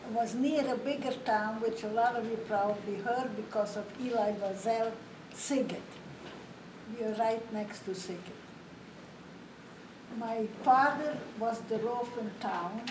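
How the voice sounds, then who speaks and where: An older woman from Romania, giving a slow, plain, matter-of-fact spoken testimony to an audience. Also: a little high. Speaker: Holocaust survivor speaker. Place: community hall. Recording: roomy, distant, faint hiss.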